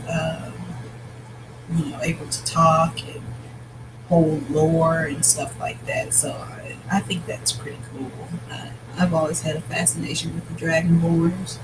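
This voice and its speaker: Slow, raspy voice thinking aloud. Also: mid-range voice. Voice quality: raspy, scratchy voice. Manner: thinks aloud, rambling with many pauses and restarts. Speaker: novelist outlining their book. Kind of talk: casual planning vlog.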